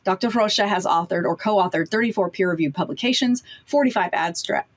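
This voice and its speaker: A woman's voice: mid-range voice, brisk. American woman hosting a farm podcast. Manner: friendly, reads introduction then chats. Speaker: podcast host. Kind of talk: interview hosting.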